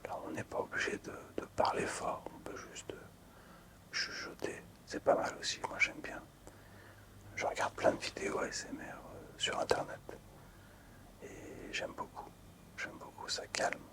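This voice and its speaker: A man speaks in a constant whisper, in a soft ASMR style. His delivery is unhurried and hesitant, with pauses between short phrases, like a creator quietly presenting things to his viewers. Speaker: video creator showing collectible cards.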